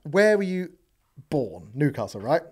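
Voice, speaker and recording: A man's voice: a little high, very animated, a little mellow, husky. Jokey young male podcast host. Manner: upbeat, jokey, quick asides. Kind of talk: casual interview chat. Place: podcast studio with brick wall. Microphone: boom mic on arm.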